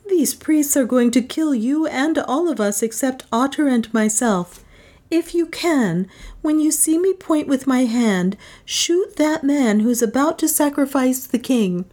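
A woman's voice: high voice, expressive, measured pace, mellow, pitched above the performer's own voice. A woman reader voicing a commanding young woman who poses as a goddess, declaiming in an imperious manner. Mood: urgent.

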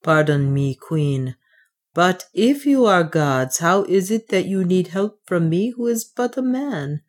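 A woman reading an audiobook, voicing an honest male soldier who speaks gravely and formally with sorrow. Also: on the low side, expressive, takes it slowly.

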